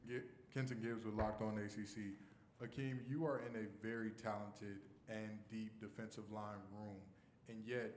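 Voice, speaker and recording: Man with a flat low voice, echoey room. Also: normal speed, a little hiss or hum. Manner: long-winded, winding phrasing. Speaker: sports reporter. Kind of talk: asking a long question at a press conference. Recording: echoey press room from off-mic.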